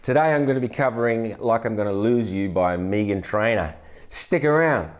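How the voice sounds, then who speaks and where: Deep-voiced man teaching guitar casually. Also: moderate pace, heavy background noise. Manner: relaxed, chatty, many restarts and fillers. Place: indoor studio, brick wall backdrop. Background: acoustic guitar playing.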